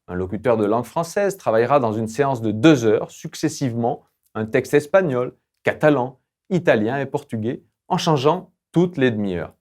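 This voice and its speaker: A male lecturer delivers scripted instructional narration in a mid-pitched voice. His manner is formal and didactic, with measured, academic phrasing. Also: darkish tone. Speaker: lecturer presenting language-learning tools.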